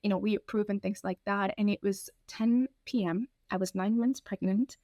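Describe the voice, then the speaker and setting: A woman in her thirties from Ethiopia, telling her own story in an interview, candid and animated, often acting out what people said. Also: medium pitch, moderate pace, dry recording. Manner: frank, animated, acts out quoted dialogue. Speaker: guest.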